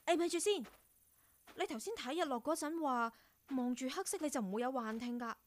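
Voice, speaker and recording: An actress playing a curious, excitable young female radio intern in a radio drama. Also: high-pitched, brisk, lightly acted. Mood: eager, teasing. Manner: excitable, teasing, exclamatory. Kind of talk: Cantonese radio drama acting.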